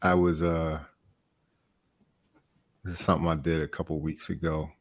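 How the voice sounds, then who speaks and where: A man's voice: extremely low pitch, level tone, moderate pace, a little hiss or hum. A man chatting casually as an auditioning actor on a live stream. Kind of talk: casual chat.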